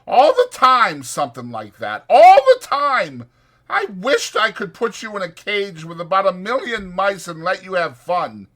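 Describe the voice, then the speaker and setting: A man's voice: sits high, huge pitch swings, measured pace, sharp tone, pitched above the performer's own voice, full character voice. A man voicing a short-tempered, exasperated migrant ranch worker, scolding in slangy rural American working talk. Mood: angry scolding.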